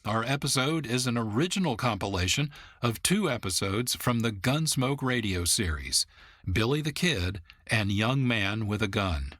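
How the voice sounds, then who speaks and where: A man's voice: medium pitch, animated, normal speed, a bit bright, no echo, studio quality. A man with an American accent reading scripted narration as the host and announcer of a radio show.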